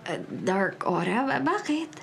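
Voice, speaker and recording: A woman's voice: mid-range voice, very animated, slow pace, gravelly, slight background noise, full character voice. A Filipino woman playing an anxious, haunted woman in a radio drama, stubbornly in denial, with overwrought, nervous self-talk. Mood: confused, uneasy.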